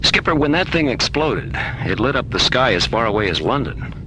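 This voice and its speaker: A man's voice: sing-song, moderate pace, lightly acted. A man playing a bookish spaceship crewman in a science-fiction radio drama, conversationally relishing a mystery tale. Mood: intrigued. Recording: rumbling vehicle noise throughout.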